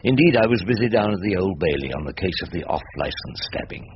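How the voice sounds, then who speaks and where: An actor playing a grumbling older English defence barrister in a radio drama, dry, ironic and ponderous. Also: male voice, low voice, lively intonation, fast. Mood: wry narrating. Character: wry, grumbling veteran English defence barrister narrating his cases. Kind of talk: radio drama acting and first-person narration. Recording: dull radio recording with loud background noise.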